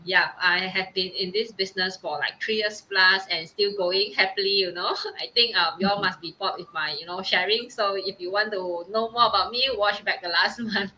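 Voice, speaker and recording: Singapore woman, bright voice, giggly, faint background hum. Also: mid-range voice, moderate pace, few pauses, rough recording. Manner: giggly and easygoing. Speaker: guest on a group live stream. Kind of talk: casual self-introduction.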